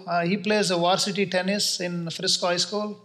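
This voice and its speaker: Indian man in his forties, fairly high voice, earnest and unhurried, leans on "uh" and "um" between thoughts. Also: level tone, sharp tone. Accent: Indian English. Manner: earnest, measured, pauses with many uh and um fillers. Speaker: real estate investor guest. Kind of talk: casual interview answers.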